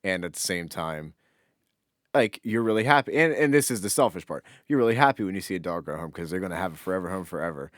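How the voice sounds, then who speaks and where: A man's voice: low voice, animated, fast talker, a bit bright, no echo, clean studio sound. A man co-hosting a podcast in casual, informal chat, with some offhand swearing. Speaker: podcast co-host.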